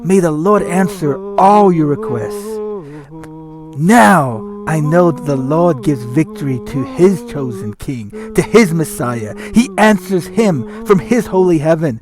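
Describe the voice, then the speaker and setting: A man's voice: a little high, animated, unhurried. A man giving a solemn, declamatory, theatrical reading of scripture. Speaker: scripture reader. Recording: music under the voice. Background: music.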